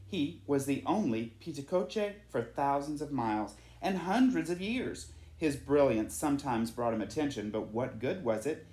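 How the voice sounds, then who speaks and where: Animated storybook reader, lively pitch. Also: normal speed, few pauses, reverberant. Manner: animated, dramatic delivery. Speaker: storyteller reading aloud. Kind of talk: expressive children's storybook reading.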